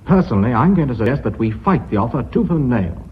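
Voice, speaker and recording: Sober, slightly husky company director. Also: male voice, mid-range voice, big pitch swings, fast. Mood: grave, resolute. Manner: formal, clipped, grave. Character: cautious, sober, principled company director, a man. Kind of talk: radio drama acting. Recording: dull, muffled radio recording.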